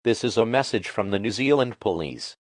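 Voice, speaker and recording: A man delivering a formal, official emergency broadcast in a deliberate, impersonal manner. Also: lowish voice, animated, dry recording, studio quality. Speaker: emergency alert announcer.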